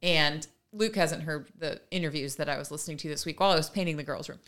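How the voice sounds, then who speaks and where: Woman podcast cohost, lowish pitch, bright forceful voice, explaining stuff earnestly, chatty. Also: fast. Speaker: podcast co-host. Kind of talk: casual conversational discussion.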